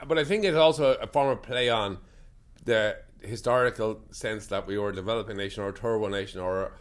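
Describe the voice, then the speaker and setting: An Irish man in casual conversation, telling animated stories, swearing freely and laughing as he goes. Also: fairly low pitch, fairly quick. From Ireland. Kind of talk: casual chat.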